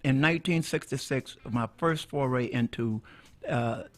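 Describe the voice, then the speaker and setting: An older man from Mississippi, a former radio DJ and minister, telling his life story in a relaxed, folksy way with many restarts and chuckles. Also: mid-range voice, unhurried, faint music behind. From Mississippi, USA.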